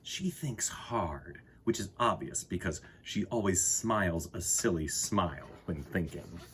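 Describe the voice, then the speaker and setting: A man reading a children's picture book expressively, with deliberate pauses for effect. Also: low voice, animated, a bit bright, a little hiss or hum. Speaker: storyteller, host.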